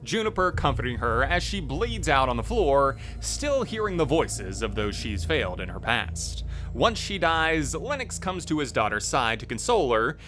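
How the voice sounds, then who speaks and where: A man's voice: medium pitch, very animated, moderate pace, a bit bright, a little hiss or hum. A man hosting a video, delivering scripted review narration into a close voice-over mic. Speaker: host, game reviewer. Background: faint music.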